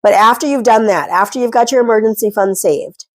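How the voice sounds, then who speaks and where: A woman's voice: fairly high pitch, brisk, dry recording. A woman with a cold talking from home, giving chatty, earnest advice about getting out of debt in a self-deprecating way. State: congested from a cold. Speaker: personal finance vlogger. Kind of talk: casual talking-head advice.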